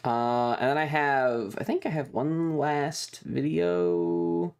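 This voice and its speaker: Slow-paced man chatting casually on a livestream. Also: on the high side, creaky, a little mellow, clear, resonant tone. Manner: rambling, halting, with stretched pauses. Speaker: streamer. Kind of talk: casual livestream chat over trip photos and videos.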